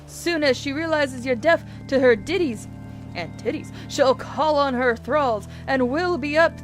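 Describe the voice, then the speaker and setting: A woman's voice: sits high, unhurried, soft background music, voice pushed up. A woman voicing a superstitious old sailor man in dramatic, piratical dialect, reading out game dialogue. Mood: urgent, excited.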